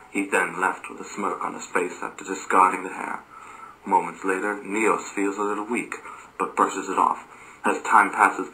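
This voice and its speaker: Man, scripted narration, low pitch, very sharp bright tone, occasional stumbles in reading. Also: rough recording.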